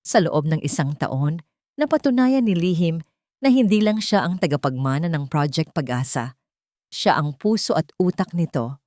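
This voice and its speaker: Isang babaeng may puntong Pilipino na nagsasalaysay ng madramang kuwentong nakasulat. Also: female voice, low-pitched, expressive, moderate pace, darkish tone, dry recording, studio quality. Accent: Filipino. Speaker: narrator. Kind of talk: scripted dramatic story narration.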